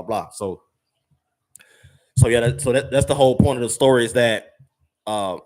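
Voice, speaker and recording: A man giving viewers casual travel advice, rambling with fillers and repeated words and using slang. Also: on the low side. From United States. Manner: uses slang, addresses viewers as fam and y'all. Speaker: travel vlogger.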